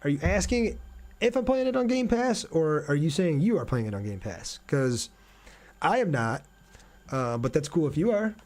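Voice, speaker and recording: A man's voice: fairly high pitch, big pitch swings, moderate pace, darkish tone. A man hosting a game livestream, talking casually and reading out viewer comments as he answers them. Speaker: game streamer, host. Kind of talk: casual livestream chat over gameplay.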